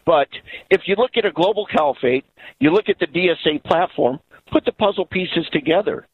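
A man's voice: on the high side, animated, a little fast, husky, phone-quality sound. A man calling a political radio show by phone, making his case earnestly and insistently over a band-limited line. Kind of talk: political opinion on call-in radio. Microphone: telephone.

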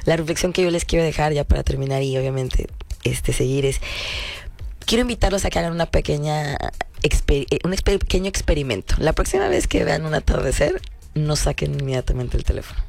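A man's voice: a little high, expressive, brisk, creaky, darkish tone, dry recording. A man, a show guest, offering reflective advice, hesitant at first and then encouraging. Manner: hesitant, repeats words, then speaks in encouraging imperatives.

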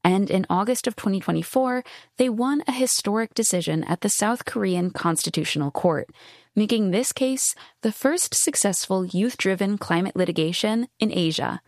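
A woman's voice: mid-range voice, lively intonation, moderate pace, darkish tone, no echo, clean studio sound. A woman hosting an interview programme, reading scripted introductions and putting questions to a guest. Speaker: radio host and interviewer.

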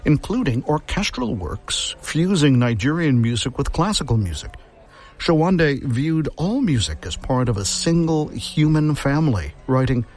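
A man hosting a classical music radio program, reading a measured, informative script. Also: mid-range voice, a little mellow.

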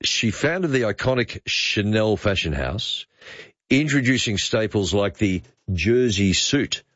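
A man with a fairly low voice hosts a talk show. His pitch swings widely as he teases and questions drily. The sound is close, dry and slightly dull. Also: lively intonation, unhurried, fairly bright tone, no echo. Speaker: talk radio host. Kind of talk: unscripted banter with a caller.

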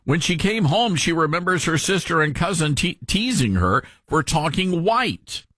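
A radio host with a mild Tennessee accent, reading news aloud and adding wry asides in casual chat. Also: male voice, on the high side, big pitch swings, moderate pace, fairly bright tone, slightly rough, dry recording, clean studio sound. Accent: mild Southern US, Tennessee.

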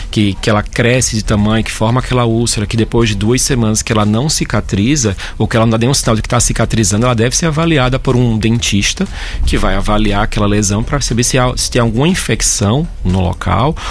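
A man speaking as a doctor guest on a radio talk show, calmly explaining health questions. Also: mid-range voice, a little fast. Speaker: head and neck doctor guest. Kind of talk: explaining medical topics on air.

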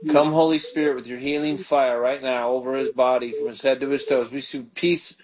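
A man's voice: medium pitch, flat delivery, brisk, a crowd in the background, low-quality recording. A man, a pastor hosting a live call-in radio show, leading callers in fervent prayer.

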